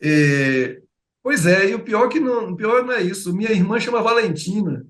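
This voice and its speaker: A middle-aged man with a Bahian accent chats as a guest on an interview show. His voice sits fairly high, and he talks in a loose way, full of fillers and repeated words. The room around him sounds echoey. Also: animated, moderate pace, fairly bright tone. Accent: Bahian Portuguese. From Bahia, Brazil. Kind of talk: casual interview chat.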